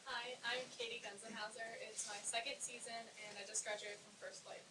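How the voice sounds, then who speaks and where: A woman's voice: fairly high pitch, little pitch movement, fairly quick, echoey room. A young woman, a lifeguard, briefly introducing herself at a public meeting. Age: young adult. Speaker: ocean rescue lifeguard. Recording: distant mic, clear hiss.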